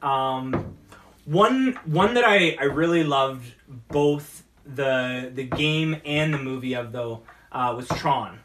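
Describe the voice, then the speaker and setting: A man hosting a casual geek talk show, rambling about movies in a halting way and often repeating his words. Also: medium pitch, a bit bright, slight background noise. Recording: distant from the mic.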